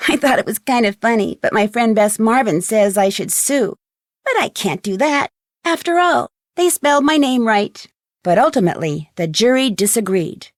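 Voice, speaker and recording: A woman's voice: a little high, no echo, studio quality, lightly acted. A woman with an American accent playing a witty teenage girl detective, telling how a case ended in wry, conversational game narration. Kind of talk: scripted game epilogue narration.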